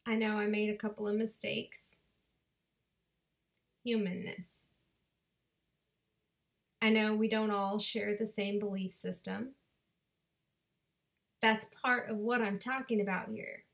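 Woman slowly performing spoken word poetry. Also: medium pitch, level tone, gravelly, fairly bright tone. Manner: slow, deliberate rhythmic delivery with dramatic pauses. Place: bedroom at home. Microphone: webcam at desk distance.

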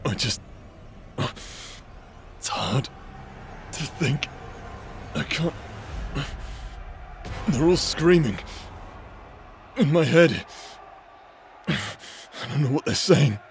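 A man acting a tormented, possessed warrior in a dramatic fantasy audio roleplay, halting and stammering through broken phrases. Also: fairly high pitch, lively intonation, a little hiss or hum, full character voice. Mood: dazed, confused. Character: tormented warrior possessed by voices in his head.

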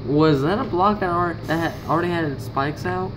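Young man hosting over clear background noise. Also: high voice, brisk. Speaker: video host. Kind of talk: casual gameplay commentary. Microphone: handheld phone or camera. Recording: noisy handheld amateur recording, constant hum.

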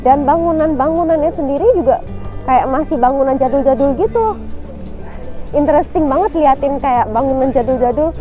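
Bright high-voiced woman vlogging casually. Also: moderate pace, muffled recording, soft background music. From Indonesia. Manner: chatty and upbeat, addresses viewers as guys, drops English words in. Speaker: travel vlogger. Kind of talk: casual travel vlog narration.

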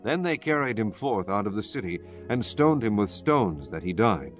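Low-pitched solemn male Bible narrator. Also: big pitch swings, normal speed, faint music behind. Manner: solemn, formal reading. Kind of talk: scripted dramatized Bible narration.

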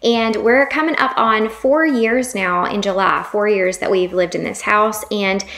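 A woman's voice: medium pitch, a little slow, fairly bright tone. A young woman, narrating her home vlog in a chatty, rambling way with plenty of fillers. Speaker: home vlogger. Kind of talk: casual voice-over narration.

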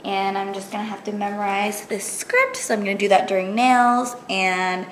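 Young woman vlogging on a handheld cam, kinda raspy, bubbly and rambly, lots of ums. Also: medium pitch, moderate pace, a little hiss or hum, poor audio. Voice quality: raspy just after waking. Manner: chatty and upbeat, many "like" and "um" fillers. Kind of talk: casual vlog chat to camera. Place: apartment rooms, gym and car. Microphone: handheld fisheye action camera at arm's length.

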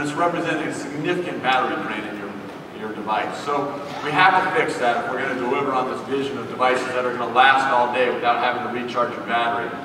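Man presenting in an echoey hall. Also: a little high. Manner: polished, promotional. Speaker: keynote presenter. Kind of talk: corporate keynote presentation. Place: large conference hall stage. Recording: echoey hall from far off with noise.

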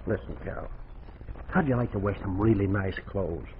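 An actor playing a smooth-talking, cocksure frontier gambler, coaxing and folksy, in 1940s radio drama style. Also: male voice, sits low, moderate pace, natural voice. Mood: coaxing, boastful. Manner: coaxing, swaggering, folksy grammar. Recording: muffled, noisy 1940s radio recording.

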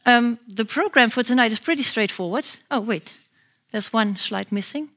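A woman's voice: a little high, normal speed, a bit bright. Woman hosting a lecture evening. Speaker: program manager and event host. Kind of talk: event introduction. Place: theatre stage.

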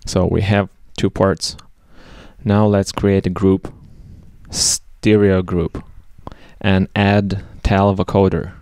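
A man presenting a step-by-step software tutorial over a screen recording. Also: deep voice, level tone, slow pace.

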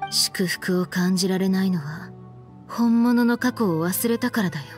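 Woman acting young anime game heroine, stern, frank, deliberate, medium pitch, music behind. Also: lightly acted. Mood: firm reproach. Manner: firm, reproachful, deliberate.

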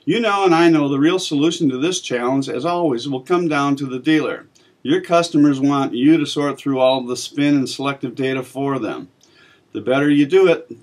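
A man in his fifties, a magazine editor, reading a scripted commentary to camera in a measured, plain way from a small office. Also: mid-range voice. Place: small office with bookshelf, indoors.

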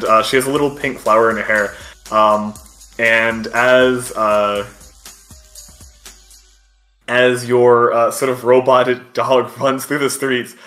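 A man working as game master, improvising descriptive narration for a tabletop game in a halting way. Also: medium pitch, bright, forceful tone.

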